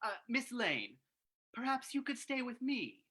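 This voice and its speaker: Woman playing a sharp-voiced, two-faced radio villain. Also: a little high, huge pitch swings, a little slow, heavily acted. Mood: meek, apologetic. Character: villainous Mister Darrow, meekly apologetic man turning maniacal. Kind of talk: old-time radio drama reading.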